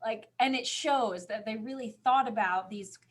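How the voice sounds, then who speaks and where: High-pitched, enthusiastic woman chatting. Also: moderate pace. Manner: enthusiastic, gushing, emphatic. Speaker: podcast co-host. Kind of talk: casual fan podcast chat.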